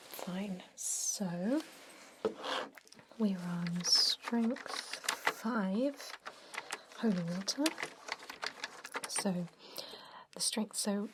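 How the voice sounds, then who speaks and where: A woman whispers slowly and breathily, close up, in an ASMR roleplay as a calm vampire doctor. She guides the patient step by step in a gentle, reassuring clinical manner. There is a faint layer of noise behind her voice. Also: lowish voice. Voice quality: breathy, mostly unvoiced whisper.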